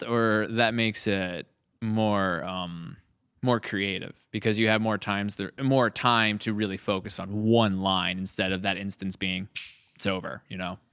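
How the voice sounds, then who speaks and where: A man hosting a casual podcast chat, upbeat and chatty, jumping into animated quoted voices. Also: on the low side, smooth, no echo, clean studio sound. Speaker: podcast host.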